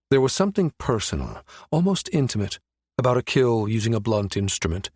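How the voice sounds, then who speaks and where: A man's voice: on the low side, dry recording, studio quality. A man narrating fiction as an audiobook reader, in a scripted storytelling style.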